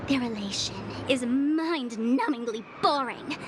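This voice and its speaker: A woman's voice: a little high, a little slow, noisy background, lightly acted. A woman playing a playful, mischievous young game character who scorns boredom, speaking in a theatrical, teasing way. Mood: mocking. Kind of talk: animated video game character dialogue.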